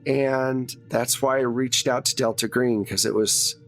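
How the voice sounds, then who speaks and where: A man's voice: medium pitch, steady tone, measured pace, faint music behind, natural voice. Man playing a candid small-town morgue worker. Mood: casual, candid. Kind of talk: improvised tabletop roleplaying dialogue.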